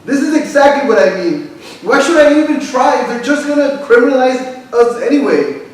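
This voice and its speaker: A man's voice: extremely high pitch, big pitch swings, moderate pace. A man playing an upset, passionate young Mexican American grandson in a staged reading, mixing English and Spanish. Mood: angry, indignant. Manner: impassioned, mixes English and Spanish. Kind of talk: staged reading, emphatic theatrical delivery. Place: theater stage, recorded from the audience. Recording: echoey hall from far off, faint noise.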